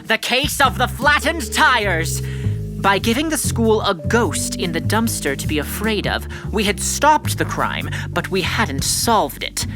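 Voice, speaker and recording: A woman's voice: mid-range voice, sing-song, a little slow. A woman playing a schoolboy detective, dramatic and exasperated, in a scripted audio drama with music behind. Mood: dramatic, self-important. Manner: melodramatic, self-important narrator. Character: schoolboy detective, dramatic, exasperated son narrating his case.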